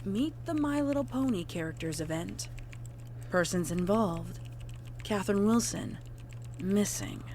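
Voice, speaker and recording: Female narrator, measured formal report reading, medium pitch, slight background noise. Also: a little mellow. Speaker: narrator of a horror fan fiction reading.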